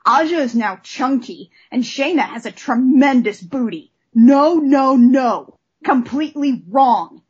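A woman's voice: high voice, breathy edge, muffled recording, pitched above the performer's own voice, full character voice. Woman putting on an outraged, scornful fan. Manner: emphatic, scornful, theatrical. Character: outraged, indignant long-time fan ranting in a letter. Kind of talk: dramatic reading of an angry letter.

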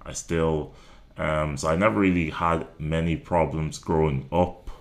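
A man's voice: a bass voice, steady tone, measured pace. A man in his twenties, a stand-up comedian from Nigeria and Ireland, chatting casually in an interview with many ums and restarts. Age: 20s.

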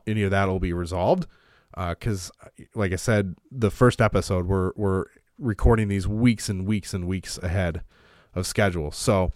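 A man's voice: fairly low pitch, clear, resonant tone, no echo, clean studio sound. A man hosting a podcast as a pastor, talking in a rambling way with many fillers while congested from a cold. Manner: rambling, with frequent uh fillers and restarts. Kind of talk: casual podcast talk on theology.

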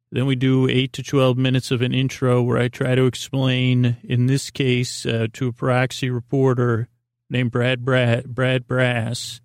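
A man hosting a sleep podcast with a rambling, improvised monologue, halting and circling back on himself in an unhurried, lulling way. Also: medium pitch, creaky, no echo, studio quality. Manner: halting, meandering, self-interrupting, with trailing repetitions. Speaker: podcast host.

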